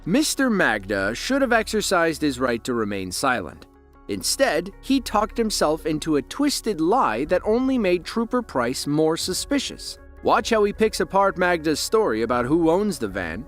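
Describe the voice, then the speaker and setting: A man's voice: a little high, big pitch swings, a little slow, faint music behind. A man giving scripted documentary narration in a dramatic, emphatic voice-over.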